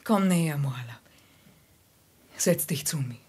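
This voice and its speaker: A very breathy, mysterious veiled seductress. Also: female voice, deep voice, lively intonation, exaggerated voice. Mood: seductive, hushed. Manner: slow, hushed, languid, intimate. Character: mysterious, seductive, secretive veiled woman of Arabian tale. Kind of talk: 1950s German radio drama acting.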